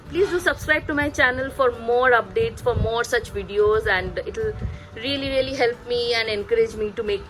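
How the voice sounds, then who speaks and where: Woman in her thirties, native Bengali speaker, fairly high voice, hesitant casual vlog talk, music in the background. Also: a bit bright. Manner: hesitant, pausing and repeating words. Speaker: parenting vlogger. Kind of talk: casual chatty vlog recommending books.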